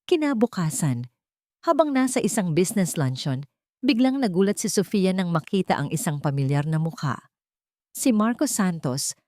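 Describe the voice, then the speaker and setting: Low-voiced Filipino woman narrating a drama. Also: a little mellow, dry recording, studio quality. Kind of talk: scripted dramatic story narration.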